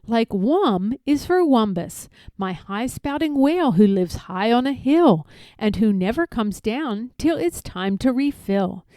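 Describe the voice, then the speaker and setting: A woman's voice: fairly high pitch, expressive, measured pace, dark tone, no echo, studio quality. A woman reading a rhyming picture book aloud expressively, drawing out key words for emphasis. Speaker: read-aloud storyteller.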